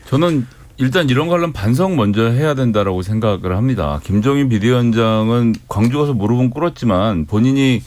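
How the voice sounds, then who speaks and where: Man, party spokesman in a radio debate; pointed, critical, mid-pitched. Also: a little fast, hardly any pauses, darkish tone. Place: radio studio.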